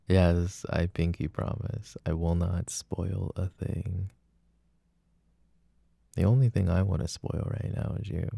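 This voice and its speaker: A man's voice: low voice, slow pace, vocal fry, long pauses, mellow, breathy edge, dry recording, full character voice. A young man playing an apologetic, adoring jealous boyfriend in an intimate roleplay, speaking in a casual, hesitant murmur. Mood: tender, adoring. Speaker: voice actor.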